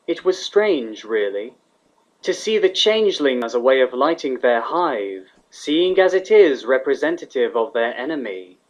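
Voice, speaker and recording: A man's voice: medium pitch, very animated, measured pace. A man reading fan fiction aloud as a scripted story narrator. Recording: dull, lo-fi sound.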